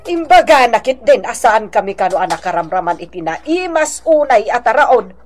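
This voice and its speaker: A woman with a Filipino accent playing a nosy, sharp-tongued, resentful neighbour in a radio drama. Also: a little high, big pitch swings, normal speed, soft background music. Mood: indignant.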